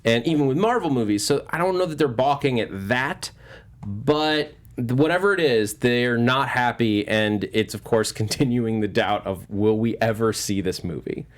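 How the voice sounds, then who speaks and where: A man's voice: medium pitch, moderate pace. A man hosting a panel show, discussing movie news in a casual way.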